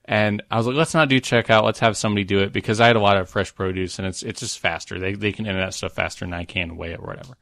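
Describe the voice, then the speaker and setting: A man's voice: lowish voice, fairly even intonation, very fast, gravelly, few pauses, fairly bright tone, dry recording, clean studio sound. A man co-hosting a podcast, chatting casually and telling rambling anecdotes in a jokey way. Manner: jokey, prone to chuckling. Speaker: podcast co-host.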